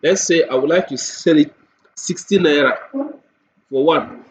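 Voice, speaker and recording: A man with a Nigerian accent giving a software tutorial walkthrough, explaining step by step and repeating points with everyday examples. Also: a little high, measured pace. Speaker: software demonstrator. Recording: dull lo-fi mic with faint noise.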